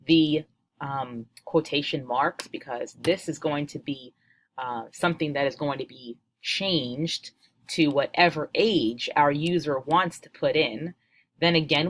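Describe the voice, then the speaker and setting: Low-voiced woman teaching coding. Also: slight background noise. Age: 40s. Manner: patient, step-by-step, upbeat. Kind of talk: screen-share coding tutorial.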